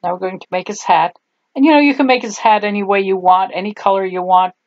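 A woman's voice: medium pitch, quick pace, no room sound, lo-fi sound. An older woman, German her first language, chatting in a homey, rambling way while she shows a clay craft, tired at the end of the day. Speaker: craft tutorial host. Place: home craft table, indoors.

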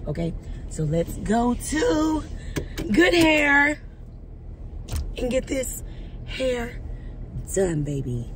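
A woman's voice: fairly high pitch, very slow, pauses often. A young woman, chatting casually to the camera from her car and calling viewers y'all. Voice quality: raspy. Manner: chatty, addresses viewers as y'all, lively hand gestures. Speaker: vlogger. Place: parked or driving car, indoors of vehicle. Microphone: phone camera on dashboard. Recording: car noise behind.

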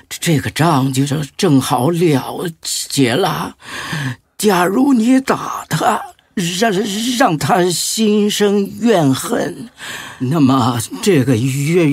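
An actor playing a frail, humble old Buddhist monk in an audio drama, with a quavering, husky voice and stammering, drawn-out words. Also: male voice, fairly high pitch, dry recording, clean studio sound, exaggerated voice. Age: older.

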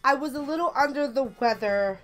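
A young woman with a slightly raspy voice from being sick, chatting casually and swearing as she plays a racing game on a headset mic. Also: high voice, a little fast, soft background music, low-quality recording. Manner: chatty, swears often, loud outbursts at the game. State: a little sick. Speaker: gaming channel host. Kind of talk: casual let's-play commentary.

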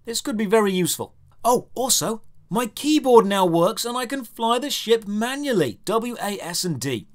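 A man narrates a scripted game review in a fairly high voice. His intonation swings widely while he delivers dry, sardonic commentary with a straight-faced edge. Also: normal speed. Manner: sardonic, deadpan.

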